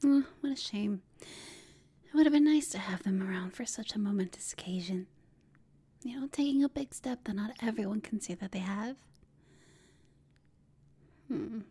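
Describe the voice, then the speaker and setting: A woman playing a flirtatious, smug female villain who taunts an enemy in a breathy whispered ASMR roleplay. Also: medium pitch, dark tone. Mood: playful taunting. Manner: slow, teasing, smug.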